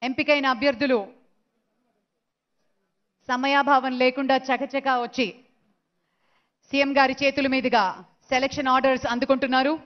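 A woman announcing recruits' names one by one at an award ceremony, in a slow, measured way. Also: high voice, little pitch movement. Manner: slow, measured name-calling into a PA. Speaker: event announcer. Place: large stadium ceremony. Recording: dull lo-fi broadcast sound.

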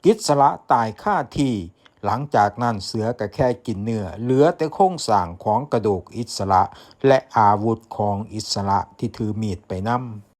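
A man's voice: fairly low pitch, moderate pace, a little mellow. A man with an Isan accent narrating a folktale, folksy and speaking straight to his listeners. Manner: folksy, addressing listeners directly. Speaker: folktale storyteller. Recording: music behind the voice.

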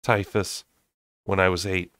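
A man's voice: low voice, flat delivery, measured pace, sharp tone, smooth, no room sound, studio quality. A man voicing a defiant, guarded nineteen-year-old huntress in a storybook, speaking curtly. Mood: terse. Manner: curt, guarded.

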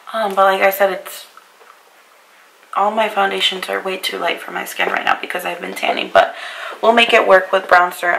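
A young woman talks through her makeup routine at home, her voice worn hoarse and raspy by a cold. It carries a deep, gravelly fry yet stays bright and forward. She speaks casually to the camera and keeps apologizing for how she sounds. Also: low-quality recording. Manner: chatty, apologetic about her voice. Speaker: beauty vlogger. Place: bedroom vanity, indoors.

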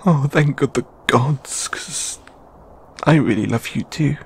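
A British man voicing a shy, flustered, affectionate male lamia flatmate in a halting, intimate audio roleplay. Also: medium pitch, vocal fry, husky, exaggerated voice. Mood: loving, tender. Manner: halting, bashful, stammering.

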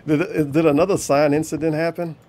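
A man's voice: a little high, little pitch movement, a little fast, darkish tone, a little hiss or hum. A man giving teasing running commentary over a stream, laughing often and reading chat aloud.

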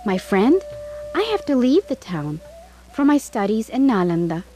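A woman's voice: a little high, sing-song, moderate pace, over music. Woman voicing a proud young storybook king. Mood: friendly. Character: proud young prince turned king, uneasy conscience.

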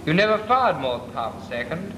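A man's voice: fairly high pitch, very animated, a little fast, dull audio. A man with a British accent playing an instructor in a 1940s film, matter-of-fact and mildly scolding as he reviews reports. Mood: stern. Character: stern male gunnery instructor. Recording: echoey, hissy old film sound.

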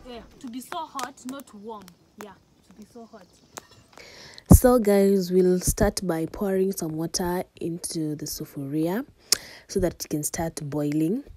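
A young woman with an East African accent casually demonstrates cooking for a vlog, recorded outdoors. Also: on the high side. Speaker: cooking vlogger. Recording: noisy outdoor phone recording.

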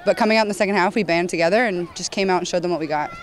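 A young woman goalkeeper talking quickly and eagerly in a post-game interview, outdoors at a stadium at night into a handheld mic. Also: fairly low pitch. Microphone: handheld mic held at chin.

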